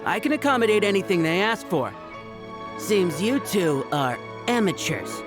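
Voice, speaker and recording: An actor playing a curt, condescending professional fishmonger in a video game, with music behind him. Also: male voice, sits high, big pitch swings, normal speed. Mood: snooty, dismissive. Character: curt, aloof professional fishmonger man, dismissive of amateurs. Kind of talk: video game character acting.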